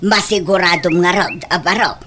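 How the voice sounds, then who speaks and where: A woman with an Ilocano accent playing a protective village mother who gently scolds and cautions, in a radio drama. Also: fairly low pitch, normal speed, noisy background, natural voice. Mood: cautioning. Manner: admonishing, motherly.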